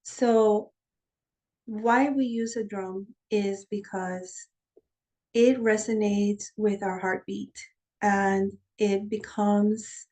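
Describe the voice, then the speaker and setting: A woman teaching shamanic practice, leading a guided journey meditation over a video call, calm and slow when guiding and chatty when explaining. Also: medium pitch. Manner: chatty and candid when explaining, with soft laughs.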